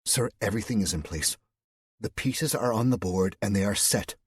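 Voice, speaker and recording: A man's voice: lowish voice, normal speed, husky, no room sound, clean studio sound. A man voicing a firm, earnest female aide reassuring her commander.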